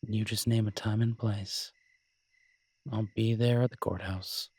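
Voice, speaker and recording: Husky, low-voiced romantic werewolf boyfriend, played by a man. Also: steady tone, a little mellow, dry recording. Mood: hesitant, pleading. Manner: tender, teasing, halting conversational delivery. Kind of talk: romantic roleplay audio, intimate one-sided dialogue.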